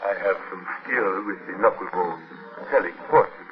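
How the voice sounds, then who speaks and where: A man's voice: low voice, lightly acted. A man acts out a deferential island servant. He speaks formally and carefully, like a fortune-teller at work, in 1940s radio drama style. The sound is muffled and aged. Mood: solemn, foretelling. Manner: formal, polite, measured.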